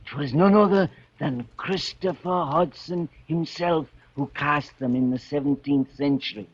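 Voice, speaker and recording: A man's voice: on the high side, a little slow, slightly rough. An actor playing an old bell-ringer in a 1940s radio drama, crooning fervently in folksy archaic dialect about bells he treats as his children. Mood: doting, proud. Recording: muffled vintage radio broadcast with music.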